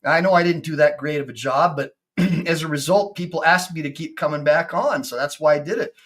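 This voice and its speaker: A man in his fifties, a personal injury attorney hosting a casual video-call chat, excitable and full of stammering restarts. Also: fairly high pitch, a little fast. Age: 50s.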